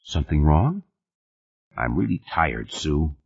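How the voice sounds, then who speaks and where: A man's voice: a bass voice, big pitch swings, slow, creaky, slightly rough, highs cut off, full character voice. A man voicing a tired father and husband in a novel, patient until irritated. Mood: weary.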